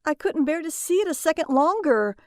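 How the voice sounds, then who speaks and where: Woman playing a sharp-tongued, highly animated housewife. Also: fairly quick, dry recording, studio quality. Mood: distressed, accusing. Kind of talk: audio drama acting.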